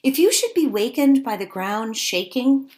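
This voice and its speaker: A woman's voice: a little high, animated, unhurried, a little mellow. A woman reading a picture book aloud to young children as a teacher, stopping to ask simple questions and make exclaiming asides.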